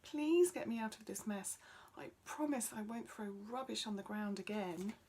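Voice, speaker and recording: A woman's voice: mid-range voice, moderate pace, dark tone, slightly rough, pitched above the performer's own voice, close to an everyday voice. A woman voicing a cheeky, plain-spoken young boy in a children's story. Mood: groaning, pleading. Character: young boy, cheeky, casual, easily sorry.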